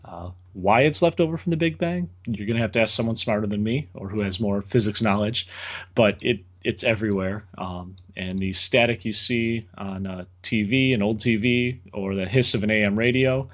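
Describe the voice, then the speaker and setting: A man's voice: fairly low pitch, normal speed, fairly bright tone. A man, a guest engineer on a podcast, explaining technical ideas casually with dry joking asides.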